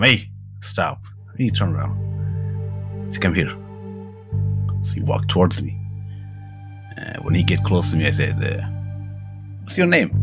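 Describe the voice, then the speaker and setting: Deep-voiced Afghan man telling his story. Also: vocal fry. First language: Dari. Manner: plain, direct storytelling with uh fillers and simple grammar. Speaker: former interpreter, guest. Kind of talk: recounting personal story.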